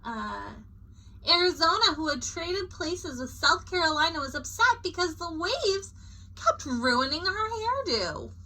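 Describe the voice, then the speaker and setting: A woman's voice: very high voice, measured pace, low-quality recording. A woman reading a picture book aloud as a storyteller, expressively and with informal asides. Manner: expressive, acting out short character quotes.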